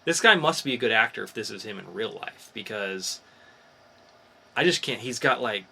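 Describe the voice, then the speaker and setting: A man's voice: on the low side, expressive, moderate pace, creaky, bright, forceful tone, breathy edge. A man hosting a podcast in casual chat, informal and opinionated in the way he talks. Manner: opinionated, informal, mildly profane. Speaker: podcast host. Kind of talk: casual podcast chat about comics and movie news.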